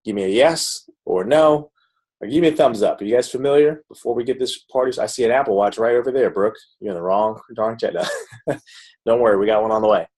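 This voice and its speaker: A man presenting a network marketing pitch on an online webinar, speaking informally and chattily to his audience in a salesy way. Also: brisk, a little mellow, slightly rough. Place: live video call.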